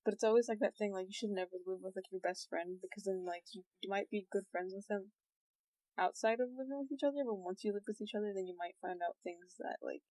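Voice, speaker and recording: Give me a young woman with a monotone voice. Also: mid-range voice, fast, low-quality recording. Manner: monotone, filler-heavy "like". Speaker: guest artist. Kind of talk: casual video-call chat.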